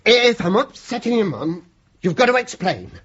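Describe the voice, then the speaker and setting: A middle-aged British working man, kindly and plain-spoken, a chauffeur from a radio drama. His voice is husky and moves freely up and down, on a slightly muffled recording. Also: high-pitched, very animated, moderate pace, fairly bright tone, heavily acted. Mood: upset, pleading.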